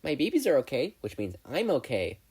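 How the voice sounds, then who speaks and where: A man's voice: medium pitch, wildly swooping pitch, a little fast, darkish tone, breathy edge, pitched above the performer's own voice, exaggerated voice. A man voicing a gleefully reckless teenage girl inventor with a breezy, boastful manner.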